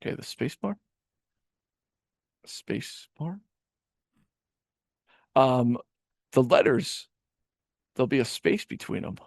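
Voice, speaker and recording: A man's voice: mid-range voice, big pitch swings, slow, creaky, long pauses, dry recording, studio quality. A man hosting a casual reaction podcast, rambling with stammered restarts and swearing. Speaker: podcast host.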